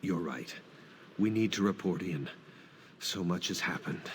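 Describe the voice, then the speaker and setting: A man's voice: sits low, a little slow, a little hiss or hum. An actor playing a devout, resolute warrior guildsman in a fantasy video game, solemn and emphatic. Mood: troubled, solemn.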